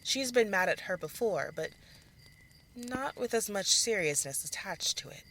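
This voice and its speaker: A woman narrates a scripted fantasy audio drama. Her voice sits at a medium pitch with a bright, forceful edge. The delivery is that of a dramatic storyteller. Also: animated, a little slow, a little hiss or hum, poor audio.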